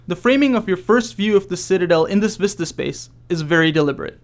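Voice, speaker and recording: A man's voice: high-pitched, animated, quick pace. A man reading scripted commentary as a game developer.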